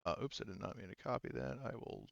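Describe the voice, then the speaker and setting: A man running an online tabletop roleplaying game as game master, talking casually and haltingly as he thinks aloud and corrects himself. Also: on the low side, very animated, vocal fry, a bit bright, no echo, lo-fi sound.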